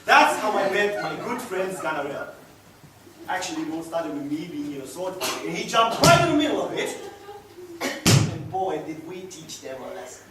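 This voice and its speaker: Man acting a passionate seducer, high-voiced, very echoey. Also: very animated, normal speed. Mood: boastful, nostalgic. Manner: dramatic, swinging between hushed murmurs and loud declarations. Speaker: actor. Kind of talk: theatrical solo stage monologue. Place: echoey indoor room, recorded from a distance. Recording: echoey room, distant mic, faint noise.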